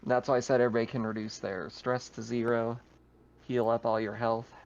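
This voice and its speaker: A man's voice: mid-range voice, little pitch movement, a little fast, darkish tone. A man acting as game master for a tabletop roleplaying game, casually explaining the rules over an online voice chat. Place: online voice call. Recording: slightly noisy, dull online voice-chat audio.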